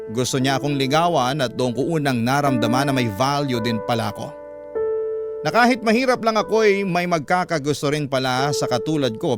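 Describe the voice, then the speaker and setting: A Filipino man reading a scripted first-person story as the narrator of a radio drama program. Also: fairly high pitch.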